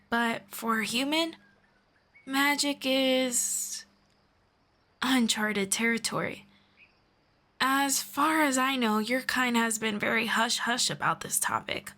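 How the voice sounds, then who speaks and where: A woman playing a friendly, curious elf in a roleplay audio drama, speaking in a gentle, measured and formal way to one listener. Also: on the high side. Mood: thoughtful, earnest.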